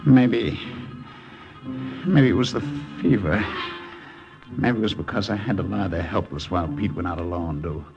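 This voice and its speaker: A man's voice: sits low, normal speed, pauses often, dull audio. An actor playing a sick young American soldier who tells his jungle ordeal wearily in an old radio drama. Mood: weary, grim. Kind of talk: 1950s radio drama acting, first-person narration. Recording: old radio broadcast, music behind.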